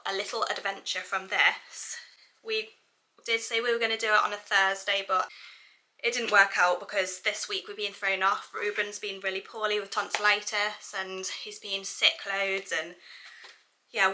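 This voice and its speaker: Bright-voiced young British woman vlogging. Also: mid-range voice, slight background noise, poor audio. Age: young adult. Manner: chatty, informal, thinking aloud. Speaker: family vlogger and mother. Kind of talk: casual vlog chat.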